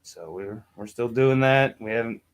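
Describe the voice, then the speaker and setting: Male host, mid-pitch, laid-back storyteller who acts out the people in his stories. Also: normal speed, clear, resonant tone. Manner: laid-back storyteller, acts out phone calls in put-on voices. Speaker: podcast host. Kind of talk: casual chat, storytelling.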